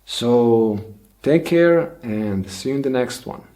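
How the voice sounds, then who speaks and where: A man whose first language is Bosnian hosts his own channel, musing aloud in a slow, unhurried way. His voice is dark and mellow, with frequent "uh"s and restarted sentences as he thinks things through. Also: medium pitch, lively intonation. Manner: slow, thoughtful, many fillers and restarts. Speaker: channel host. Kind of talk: reflective solo monologue.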